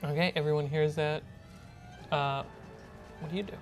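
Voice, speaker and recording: A woman's voice: low-pitched, fairly even intonation, normal speed. A woman narrating a tabletop horror role-playing game as game master. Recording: music bed under the voice. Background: background music.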